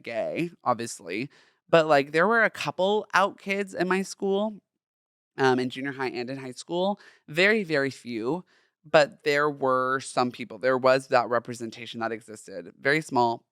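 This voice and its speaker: A young man from Southern California with a feminine, light voice, hosting a personal solo podcast in a chatty, campy, expressive way. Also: mid-range voice, clear, resonant tone, no room sound, studio quality. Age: young adult. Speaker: podcast host.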